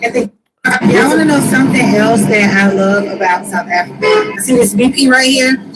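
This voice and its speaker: A woman's voice: fairly high pitch, normal speed, low-quality recording. A woman chatting enthusiastically in a travel vlog. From United States. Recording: music under the voice.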